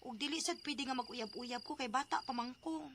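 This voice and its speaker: A woman playing an eager, giddy schoolgirl with a crush in a radio drama, speaking in an expressive, girlish way. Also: high-pitched, fairly quick, a little hiss or hum. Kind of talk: Cebuano radio drama acting.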